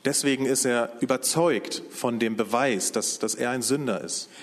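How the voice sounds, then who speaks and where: A man from Berlin interpreting a sermon phrase by phrase in a steady manner. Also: mid-range voice, normal speed. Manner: steady, follows the speaker phrase by phrase. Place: church hall. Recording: long hall reverb.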